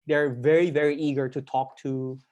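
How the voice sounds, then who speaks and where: A man's voice: medium pitch, flat delivery, normal speed, a little mellow, muffled recording. A young man from the Philippines talking casually as a panelist on a video call, rambling and repeating words for emphasis. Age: young adult. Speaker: panelist sharing work experience. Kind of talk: casual spoken panel talk.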